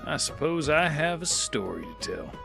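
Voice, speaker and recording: A man's voice: a little high, sing-song, measured pace, gravelly, soft background music, full character voice. A man playing a weary, laconic gunslinger. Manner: dry, laconic drawl. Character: weary male gunslinger, laconic and world-worn. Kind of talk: first-person narration.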